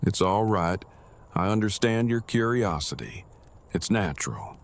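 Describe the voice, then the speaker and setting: Man acting a low-voiced, stiffly formal brainy father. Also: animated. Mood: gentle. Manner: formal, wordy, deliberate, precise. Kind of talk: audio drama acting.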